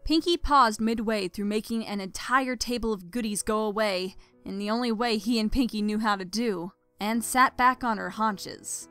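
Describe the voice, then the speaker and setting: A woman's voice: mid-range voice, normal speed, few pauses, a bit bright, smooth, soft background music. A woman reading scripted story narration expressively as the narrator.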